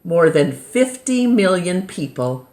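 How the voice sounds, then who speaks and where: An American woman reading a children's nonfiction book aloud like a storytime teacher, deliberately, pausing between short phrases. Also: lowish voice, very animated, a little mellow.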